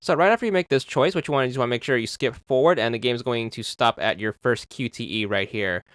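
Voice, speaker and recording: Man giving a clear game walkthrough. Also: mid-range voice, quick pace, no room sound, clean studio sound, faint music behind. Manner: steady, methodical, repeats instructions. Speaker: achievement guide host. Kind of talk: instructional gameplay walkthrough.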